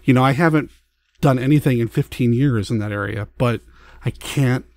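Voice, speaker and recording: A man's voice: mid-range voice, normal speed, darkish tone, no room sound. A man hosting a podcast, chatting in an informal, self-deprecating way. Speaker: podcast host. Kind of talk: casual interview chat.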